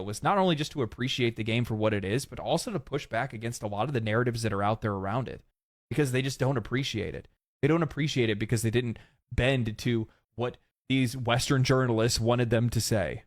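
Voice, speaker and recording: A man commenting on video games, opinionated and ranting, his voice hoarse and fading. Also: mid-range voice, brisk, no echo, studio quality. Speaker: gaming commentator.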